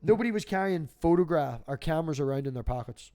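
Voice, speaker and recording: Male, middle-aged, slightly high register, casual and sweary livestream talker who spins anecdotes. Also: animated, quick pace, mellow. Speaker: livestream host.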